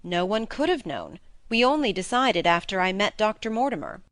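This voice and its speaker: A woman voicing a brisk, blunt young baronet in an audiobook, with emphatic phrasing. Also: medium pitch, very animated, a bit bright, no echo, studio quality. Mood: puzzled, demanding. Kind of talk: audiobook character reading.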